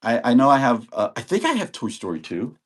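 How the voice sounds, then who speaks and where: A man in his sixties with a voice of average pitch, talking loosely and rambling on a live selling stream. He is getting over an illness and chats to viewers by name between items. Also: sing-song, brisk. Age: 60s. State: recovering from illness. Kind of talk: live auction stream chat.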